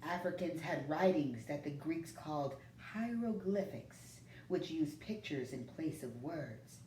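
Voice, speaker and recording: A woman reading a children's picture book aloud, often in a hushed near-whisper. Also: low voice, lively intonation, a little slow. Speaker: storyteller. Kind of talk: children's story read-aloud. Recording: roomy, distant, faint background noise.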